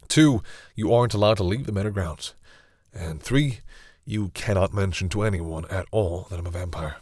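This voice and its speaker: A man playing a proud vampire captor who is awkwardly softening, speaking hesitantly and condescendingly to the listener in an intimate audio roleplay. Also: low voice, moderate pace, breathy edge, no echo, studio quality. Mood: instructive, stern. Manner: hesitant, sighing, wryly condescending toward humans.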